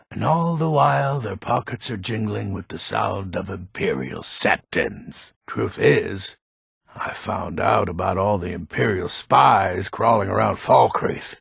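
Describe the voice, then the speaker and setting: A heavily gravelled, very hoarse-edged old lord from a fantasy game. He is proud and grumpy, blustering in slow, weighty phrases that swing widely in pitch. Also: no echo, clean studio sound, full character voice. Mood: resentful. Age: older. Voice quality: gravelly, raspy. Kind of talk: video game character voice acting.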